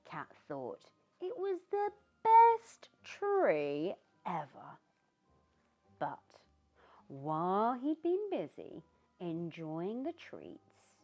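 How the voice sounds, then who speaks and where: A British woman reading a children's story aloud in an expressive, theatrical way, with asides to the young listener. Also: on the high side. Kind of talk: animated read-aloud narration for young children. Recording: dull lo-fi sound with background music. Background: music.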